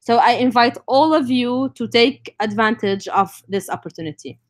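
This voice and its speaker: A woman's voice: fairly high pitch, normal speed. A woman from Lebanon with a Lebanese accent, presenting an informal online session as the speaker. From North Lebanon. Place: live online stream.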